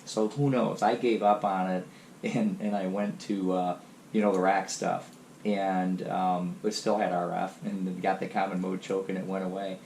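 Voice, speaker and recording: Low-voiced ham operator with a cold. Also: male voice, a little slow. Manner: relaxed, chatty, many fillers. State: has a cold. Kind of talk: casual radio chat. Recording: echoey room, faint noise.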